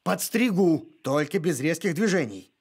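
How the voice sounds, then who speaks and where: Excitable high-pitched cartoon dog. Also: male voice, normal speed, breathy edge. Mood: eager, pleading. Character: excitable male cartoon dog hooked on games. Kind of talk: animated cartoon acting.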